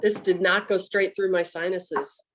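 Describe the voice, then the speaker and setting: A woman's voice: on the low side, fairly even intonation, unhurried, a little hiss or hum. A woman guest chats casually at a tasting and gives frank, joking comments. She sounds open and unfussy. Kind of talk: casual chat.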